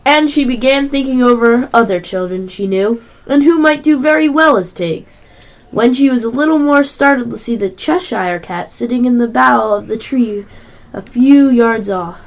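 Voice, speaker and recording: A woman's voice: fairly high pitch, moderate pace, natural voice, rough recording. A female amateur narrator reading story passages in a radio play.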